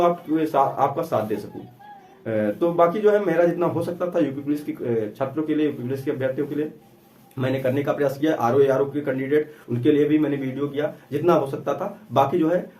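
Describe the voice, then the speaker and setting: A man's voice: fairly high pitch, warm tone. A man giving an informal explanation to students as an exam-prep teacher, with a repetitive, emphatic way of advising.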